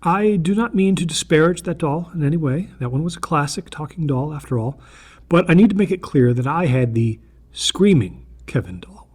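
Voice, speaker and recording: A man reading a scripted first-person horror story in a deliberate, earnest manner, with a slightly husky voice. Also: on the high side, darkish tone.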